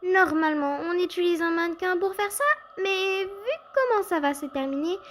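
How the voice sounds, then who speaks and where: A woman's voice: extremely high pitch, normal speed, slight background noise. A woman voicing a composed, didactic female teacher in an amateur cartoon dub, lecturing her pupils. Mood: calm lecturing.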